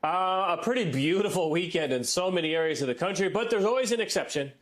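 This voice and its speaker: A man presenting a live TV weather forecast in a relaxed, conversational way with light asides. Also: high-pitched, fast talker.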